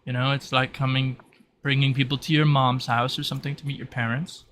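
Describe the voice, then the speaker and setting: A man's voice: mid-range voice, flat delivery, a little fast, fairly bright tone, slight background noise, close to an everyday voice. A man voicing a flamboyant, wryly friendly demon spirit in a tabletop game, musing theatrically and hesitantly, often repeating words. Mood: wryly advising.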